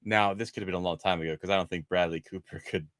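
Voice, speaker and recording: A man's voice: low-pitched, steady tone, fast talker, fairly bright tone, no echo, clean studio sound. A man hosting a casual panel discussion about films, talking in a rambling way and often changing tack mid-sentence. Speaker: host of a movie discussion show.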